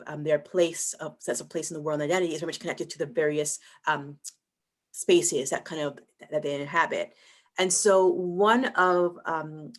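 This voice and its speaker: A woman from Barbados speaking as a museum panelist on a video call, thoughtful and measured, often pausing with "um" and "and so". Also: low voice. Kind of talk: online panel discussion.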